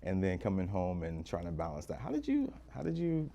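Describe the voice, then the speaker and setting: A woman hosting a podcast interview, relaxed and curious, asking questions and laughing along with her guest. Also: extremely low pitch, fairly quick, vocal fry. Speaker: podcast host.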